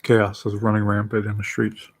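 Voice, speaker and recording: A man's voice: on the low side, completely flat delivery, moderate pace, no room sound. A man in his late twenties with a slight lisp, chatting casually and rambling with self-deprecating jokes on his own livestream. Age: late 20s. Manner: eating while talking. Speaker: livestream host. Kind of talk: casual solo livestream chat.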